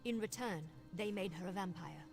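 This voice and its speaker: An actress voicing a brisk, wry young vampire investigator in a fantasy video game, speaking with conversational confidence. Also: female voice, on the low side, creaky, soft background music. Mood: matter-of-fact. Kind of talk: video game character dialogue.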